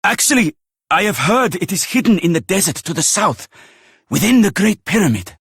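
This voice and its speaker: An actor playing an anxious, deferential soldier with an Italian accent in a video game. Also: male voice, high voice, very animated, normal speed, a bit bright, husky, no echo, studio quality, heavily acted. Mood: anxious urgency. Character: anxious, deferential soldier reporting to his commander. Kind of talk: video game character acting.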